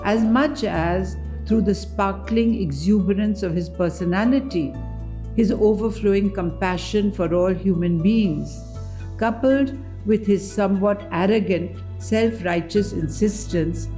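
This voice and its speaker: Mellow woman giving a measured literary reading. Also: mid-range voice, soft background music. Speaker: reader at a book event. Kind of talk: literary dramatic reading of a memoir.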